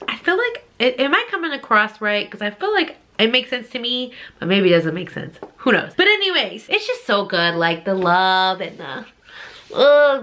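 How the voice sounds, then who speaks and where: A woman's voice: fairly high pitch, pushed and bright. A woman talking casually as a book reviewer on her vlog, informal and gushing, with emphatic repetition. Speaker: book reviewer, channel host.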